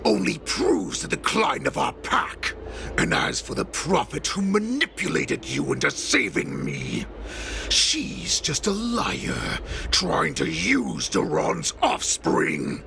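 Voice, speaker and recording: An actor playing a ruthless, proud wolf-beast warlord in a video game, speaking with slow menace and grand speeches. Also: male voice, on the high side, wildly swooping pitch, gravelly, a little hiss or hum, heavily acted. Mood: scornful. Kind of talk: video game villain acting. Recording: loud music under the voice.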